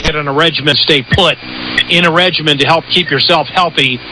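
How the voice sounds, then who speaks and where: Man, slightly high voice, radio host, rambling, noisy shortwave signal. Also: fairly even intonation, a little fast. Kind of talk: casual promotional health talk.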